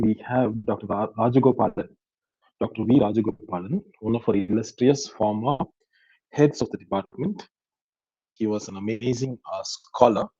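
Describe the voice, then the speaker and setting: A man's voice: on the low side, dark tone, slightly rough, no room sound. A male professor making announcements to his class over a video call, hesitant with frequent fillers. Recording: dull lo-fi call audio.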